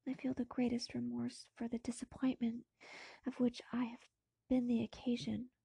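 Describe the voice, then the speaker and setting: A woman voicing an emotional, convalescent young gentleman in a storybook reading, with effusive, formal phrasing. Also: on the high side, completely flat delivery, normal speed, gravelly, husky, no room sound, pitched above the performer's own voice, heavily acted. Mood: grateful, remorseful. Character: Victor Frankenstein, emotional young gentleman recovering from illness.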